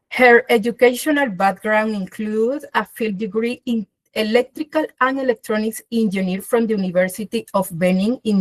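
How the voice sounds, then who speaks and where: A woman's voice: on the high side, dry recording, rough recording. A woman hosting an online webinar, introducing a guest speaker by reading her bio, with small slips and restarts. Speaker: webinar host. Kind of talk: formal introduction. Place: online video call.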